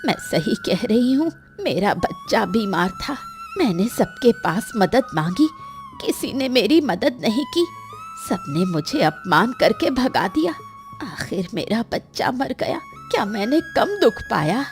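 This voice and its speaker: A woman plays a bitter old village woman from a melodramatic cartoon tale. Her speech is slow and emphatic, with pitch that swings widely as she nurses her grievance. Faint music sits underneath. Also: wildly swooping pitch, full character voice. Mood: bitter, hurt. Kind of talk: melodramatic Hindi cartoon story acting.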